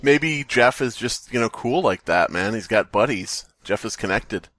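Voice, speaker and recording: Lively low-voiced man on a podcast. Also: moderate pace, dry recording. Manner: irreverent, jokey, interjecting. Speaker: podcast co-host. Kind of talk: casual group read-aloud and joking commentary.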